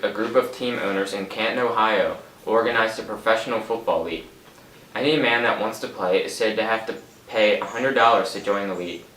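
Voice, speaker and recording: A man's voice: lowish voice, a bit bright. A young man reading a scripted amateur radio sports report as the announcer. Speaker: sports announcer in a student project. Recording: echoey room, distant mic, faint noise.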